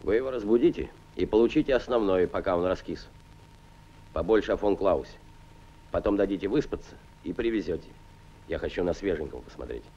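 An actor playing a stern Soviet colonel general giving orders and weighing the enemy's plans, in measured 1940s film acting. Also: male voice, low voice, lively intonation, mellow, a little hiss or hum. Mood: commanding. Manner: commanding, measured. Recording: dull old film soundtrack.

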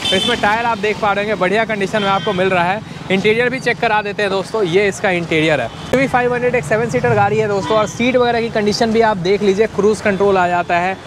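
A man's voice: high-pitched, quick pace, hardly any pauses, background noise. A man casually showing used cars at an outdoor lot in the rain, upbeat and addressing viewers as friends. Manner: upbeat, keeps saying friends and brother to viewers. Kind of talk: casual sales walkthrough.

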